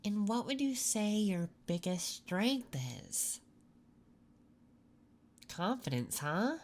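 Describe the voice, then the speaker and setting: A woman playing a curious, attentive interviewer in an unhurried, intimate, soft-spoken ASMR roleplay. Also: mid-range voice, expressive, a little hiss or hum. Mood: softly intrigued. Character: curious, attentive female interviewer in a late-night office. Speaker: ASMR performer.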